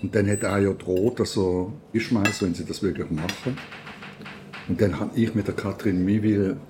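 A man's voice: lowish voice, steady tone, moderate pace, background noise. An older man with a Swiss German accent, interviewing and reminiscing in casual conversation.

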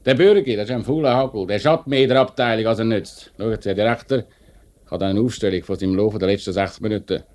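An actor playing a hard, impatient factory manager with a curt manner and a Swiss German accent, in a 1950s radio play. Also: male voice, lowish voice, fast, a little mellow, natural voice. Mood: disdainful. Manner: curt, brusque.

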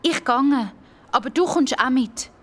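A woman's voice: high-pitched, lively intonation, measured pace, pitched above the performer's own voice. A woman voicing an eager, plucky young girl in a children's story.

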